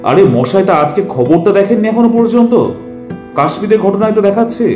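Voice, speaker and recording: A man playing a concerned, bossy middle-aged father, speaking insistently in an animated family drama. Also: high-pitched, a little fast. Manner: insistent, repeats short commands. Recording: roomy echo with music behind.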